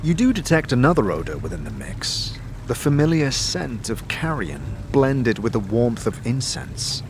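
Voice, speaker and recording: A man giving scripted fantasy narration in a dramatic, measured storytelling manner. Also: mid-range voice, sing-song, slight background noise. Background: music.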